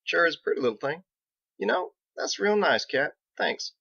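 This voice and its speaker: A man's voice: on the high side, lively intonation, normal speed, gravelly, sharp tone, slightly rough, no room sound, dull audio, pitched above the performer's own voice, exaggerated voice. A man voicing a folksy, blunt young small-town sheriff with a drawl in a storybook reading. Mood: sheepish, casual.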